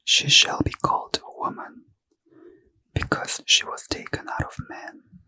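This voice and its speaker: A man reading scripture as a bedtime ASMR story in a breathy, hushed, careful whisper, close to the mic. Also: no room sound, rough recording. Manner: slow, careful, hushed delivery. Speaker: ASMR reader.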